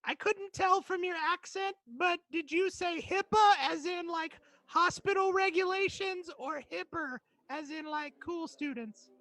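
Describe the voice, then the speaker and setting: A very high, squeaky voice drawls slowly through the lines of a jittery student trapped in a tank , as an improv player puts it on. The tone is bright with a gravelly edge. It sounds close and dry, and every question comes out timid and fussy. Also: full character voice, poor audio. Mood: curious. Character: nervous student stuck in a tank.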